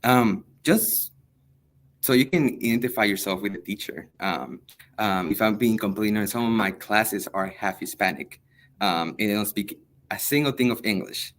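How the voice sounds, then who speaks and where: Young male teacher from El Salvador, native Spanish speaker, fairly low voice, earnest and halting in an interview. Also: a bit bright. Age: young adult. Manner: hesitant, many ums, earnest. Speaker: high school history teacher. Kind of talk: interview answers.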